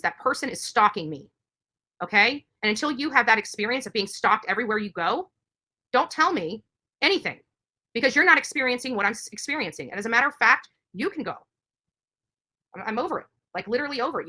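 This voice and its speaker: A woman speaks at a rapid clip in a bright, forceful voice. She is a live streamer on an indignant rant, hammering points home by repeating them. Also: fairly high pitch. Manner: emphatic, indignant, repeats herself.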